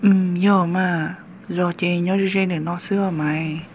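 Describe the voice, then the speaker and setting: A woman's voice: lowish voice, steady tone, a little slow, slight background noise. A woman voicing a chatty village woman in a homemade cartoon, talking in a plain, conversational way and recorded on a phone. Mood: hushed. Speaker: voice actor. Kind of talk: amateur cartoon voice acting. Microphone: phone microphone.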